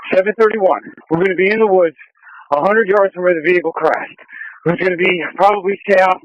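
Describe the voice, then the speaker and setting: A man's voice: high voice, brisk, no echo. A male police officer reporting from the field over police radio in an urgent, clipped manner. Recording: dull lo-fi police scanner radio.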